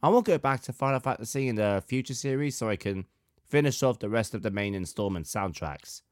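Slightly hoarse male podcast host. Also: lowish voice, lively intonation, a little fast, dry recording, studio quality. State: hoarse from a sore throat. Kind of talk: scripted podcast narration.

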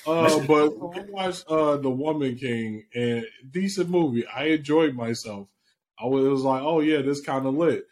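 A man's voice: a little high, normal speed. A man co-hosting a podcast who tells stories in a blunt, profane, slangy way during casual chat. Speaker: podcast co-host. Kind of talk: casual chat, telling a story.

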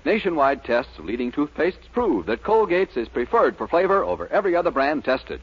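A man's voice: on the high side, very animated, a little fast, breathy edge. A man reading a 1940s radio commercial in an emphatic, persuasive way. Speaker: commercial announcer. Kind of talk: 1940s radio advertisement read. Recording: muffled old radio recording.

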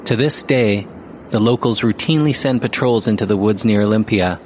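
An actor playing an investigative podcast host, narrating a mystery audio drama in a measured way with deliberate pauses. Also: male voice, on the low side, darkish tone, a little hiss or hum, natural voice. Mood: ominous, measured. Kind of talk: scripted audio drama narration, documentary style. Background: music.

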